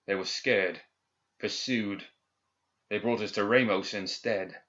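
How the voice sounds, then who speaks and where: A man's voice: on the low side, steady tone, slow, a bit bright. A man voicing a bitter, guarded, vengeful man in an audiobook, terse and grimly determined. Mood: troubled. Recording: slightly dull, band-limited recording.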